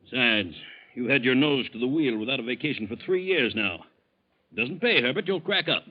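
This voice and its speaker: An actor playing a brisk, paternal male bank executive in a 1940s radio drama style. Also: medium pitch, lively intonation, close to an everyday voice. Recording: muffled, lo-fi 1940s radio recording.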